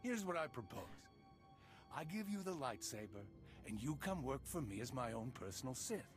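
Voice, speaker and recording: Smug male crime boss, voiced by an actor, video game, bossy, very animated pitch, prominent music backing. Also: normal speed, lightly acted. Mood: smug, threatening. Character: cocky criminal boss, bargaining, hot-tempered when crossed. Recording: loud game music behind.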